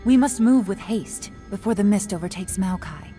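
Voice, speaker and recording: An actress playing a grave, mystical fantasy heroine in a video game, with a creaky voice. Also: female voice, mid-range voice, expressive, moderate pace, warm tone, faint music behind, lightly acted. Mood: urgent. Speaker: voice actor. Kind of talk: dramatic video game cutscene acting.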